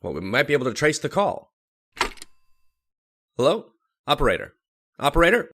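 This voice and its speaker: An actor playing a calm, practical family doctor who reasons things out in an old-time radio horror drama. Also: male voice, a little high, normal speed, slight background noise. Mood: urgent. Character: steady, reassuring male family doctor.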